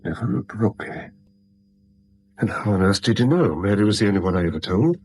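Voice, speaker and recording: A man's voice: on the low side, expressive, fairly quick, darkish tone. An actor playing an anxious middle-aged English businessman, polite but shaken, in a 1970s radio drama. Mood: tense, weary.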